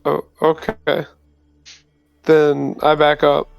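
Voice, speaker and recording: A man's voice: on the high side, monotone, slow pace, vocal fry, soft background music. A man playing a tabletop roleplaying game, talking casually and a bit tentatively. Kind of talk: casual in-character roleplay chat.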